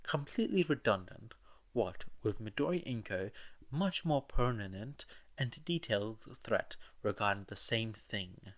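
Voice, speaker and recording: A man's voice: lowish voice, lively intonation, measured pace. A man narrating fiction as an audiobook reader, with casual asides. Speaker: podfic narrator.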